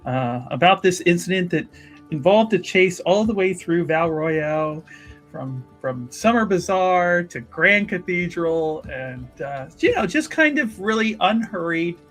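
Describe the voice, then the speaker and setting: A man playing a tabletop roleplaying game, casually improvising in a wordy, hesitant way with careful pauses. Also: high voice, expressive, fairly bright tone, faint music behind. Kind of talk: casual improvised in-character recap and questions.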